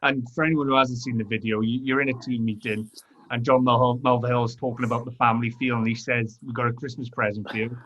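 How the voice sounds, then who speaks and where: A man's voice: medium pitch, little pitch movement, fast, a bit bright. A Welsh man hosts a casual podcast conversation. He steers with friendly leading questions and slips in his own anecdotes. The audio is slightly dull and lo-fi. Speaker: podcast host.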